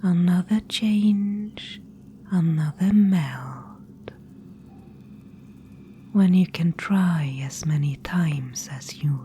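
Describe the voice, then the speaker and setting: A woman voicing a sinister, patient spider-woman guide in a breathy, deliberate whisper for a fantasy ASMR roleplay. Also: lowish voice, slight background noise. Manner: slow, hushed, deliberate. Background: music.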